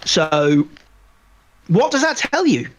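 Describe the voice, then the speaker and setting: Emphatic man with a higher-pitched voice. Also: big pitch swings, takes it slowly, a little hiss or hum. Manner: opinionated, emphatic, repeats phrases. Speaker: podcast co-host. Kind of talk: casual film review discussion.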